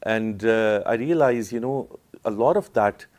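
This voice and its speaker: A man's voice: on the low side, measured pace, darkish tone. A middle-aged man from Pakistan speaking as an artist being interviewed, reflective and hesitant as he searches for his words.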